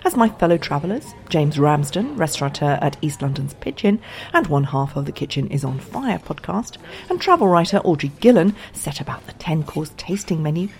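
Deep-voiced woman, lively podcast host. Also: a little hiss or hum. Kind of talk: podcast narration and interviewing.

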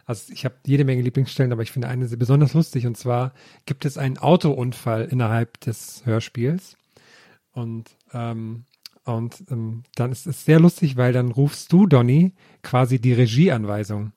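Mellow-voiced man, chatting hesitantly. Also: mid-range voice, normal speed, dry recording, clean studio sound. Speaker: co-host. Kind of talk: casual chat.

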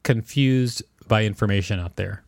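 A man's voice: on the low side, normal speed, vocal fry, no room sound, studio quality. A man co-hosting a podcast, reading an article aloud and discussing it casually. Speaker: podcast co-host.

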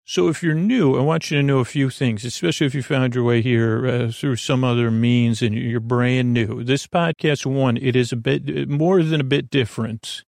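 A man with a creaky voice hosting a sleep podcast, talking in a meandering, hesitant monologue that trails off into tangents. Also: medium pitch, fairly even intonation, normal speed, few pauses, a little mellow, no room sound, clean studio sound. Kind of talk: rambling monologue to help listeners sleep.

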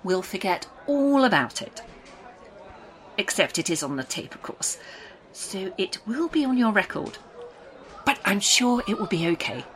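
Coolly patronising female official, expressive. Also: mid-range voice, some chatter behind. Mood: falsely soothing. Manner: patronising, measured, falsely soothing. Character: coolly condescending official woman running orientation interviews. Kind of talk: audio drama dialogue.

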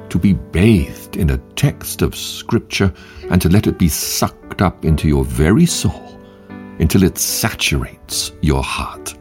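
A man hosting a devotional show, reading short quotes in a measured, emphatic way with long pauses. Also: sits low, darkish tone. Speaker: podcast host and devotional reader.

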